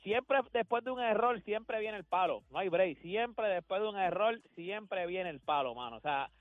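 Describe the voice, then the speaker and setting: A man's voice: high voice, expressive, moderate pace, dry recording, narrow, tinny audio. A man with a Puerto Rican accent talking sports casually on the radio, slangy and repeating phrases for emphasis. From Puerto Rico.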